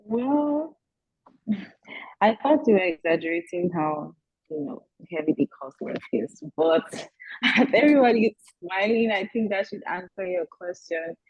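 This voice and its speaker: A young woman student answering questions on a video call, chatty and lively, full of "like" and "you know". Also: mid-range voice, measured pace, breathy edge, dry recording. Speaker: current MBA student panelist. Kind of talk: casual spoken answer. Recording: dull lo-fi video-call audio.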